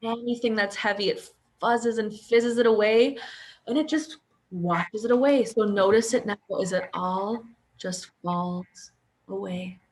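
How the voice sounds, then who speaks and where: A woman guides a sleep meditation at a very slow, drawn-out pace. Her mid-range voice stays soft and soothing, and it often sinks almost to a whisper. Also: medium pitch.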